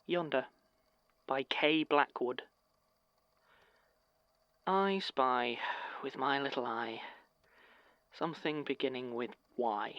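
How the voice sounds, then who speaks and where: A man performs a pensive, brooding poet giving a measured recital in an audio drama. He speaks slowly at a middle pitch, recorded dry and a little muffled. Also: no room sound. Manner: measured, deliberate, pausing between phrases. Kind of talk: slow spoken-word poetry recital in an audio drama.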